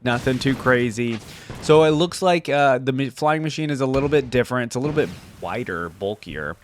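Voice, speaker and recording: A man's voice: medium pitch, animated, a little fast, slight background noise. A man giving casual, enthusiastic reaction commentary as a gaming video host, full of exclamations and slangy phrasing.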